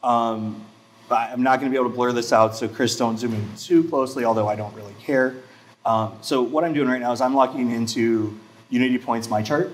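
A man's voice: medium pitch, brisk, a little hiss or hum, rough recording. A man in his forties hosting a local TV talk show, chatting casually with many fillers and asides, recorded on a lapel mic in a studio. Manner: chatty, self-deprecating, lots of fillers. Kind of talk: casual chat. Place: TV studio set.

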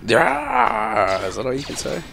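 A man's voice: mid-range voice, huge pitch swings, unhurried, gravelly, background noise. A young man giving casual, sarcastic and sweary commentary while playing a video game. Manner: sarcastic, profane running commentary. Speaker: gaming video host.

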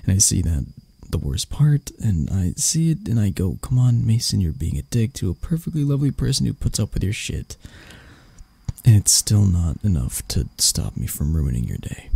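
A man playing an arrogant, grumpy CEO with a hidden anxious side in an ASMR roleplay, curt and sardonic, with a breathy, gravelly voice. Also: on the low side, big pitch swings, normal speed, mellow, full character voice. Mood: apologetic, vulnerable. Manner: curt, sardonic, stammering when flustered. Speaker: voice actor. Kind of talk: ASMR roleplay audio drama.

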